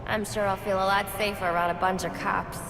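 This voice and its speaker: A woman's voice: mid-range voice, flat delivery, brisk, over music, natural voice. An actress playing a tough, guarded woman in a video game, with a sarcastic, clipped delivery. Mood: sardonic. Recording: long reverb, loud background noise.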